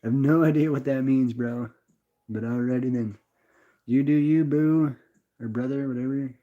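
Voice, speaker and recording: A man's voice: medium pitch, fairly even intonation, moderate pace. A young man with a Canadian accent chatting casually on a livestream, rambling and laid-back as he answers viewers and calls them brother. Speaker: livestream host reading chat. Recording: slightly dull lo-fi sound.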